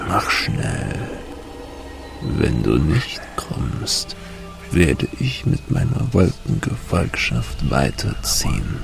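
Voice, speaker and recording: A man voicing a menacing, taunting spirit lord of thunder in a slow, domineering, rasping whisper for a story. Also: low-pitched, background noise, voice pushed down, full character voice.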